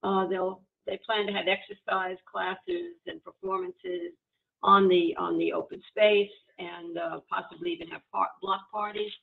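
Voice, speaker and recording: Halting woman on a tinny call. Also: medium pitch, creaky. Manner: halting, many fillers and restarts. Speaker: committee chair reporting to board. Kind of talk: committee report at public meeting. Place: home, video call. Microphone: video call. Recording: tinny phone-like video call audio.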